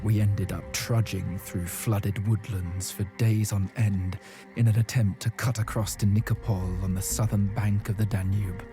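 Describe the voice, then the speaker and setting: A man's voice: lowish voice, close to an everyday voice. A man playing a devout, brooding medieval warrior, narrating a quest solemnly in a video game. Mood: grim. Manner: solemn, pious. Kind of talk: dramatic scripted narration in a game. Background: music.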